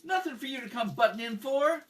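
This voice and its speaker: A man playing a hot-tempered young boy in a radio crime play, pitched far up and loud. He is pushy and defiant, protesting at grown-ups. It sounds like a homemade recording, off the mic. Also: lively intonation, fairly quick. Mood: angry, defiant. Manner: belligerent, pushy, shouted protests. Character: angry, defiant young boy who hates cops. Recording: homemade, distant mic with background noise.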